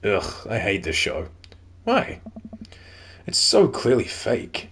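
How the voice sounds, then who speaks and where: A man's voice: lowish voice, huge pitch swings, slow, gravelly, a bit bright, slight background noise, exaggerated voice. A man with a New Zealand accent voicing a friendly, laid-back middle-aged contractor dad in a dating game. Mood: easygoing.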